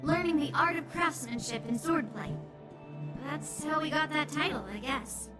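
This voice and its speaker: A high, girlish voice for a young game swordswoman, acted by a woman, proud of her skill and blunt about it. She speaks in performed character style over clearly audible music. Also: moderate pace, natural voice. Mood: proud, friendly. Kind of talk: video game voice acting.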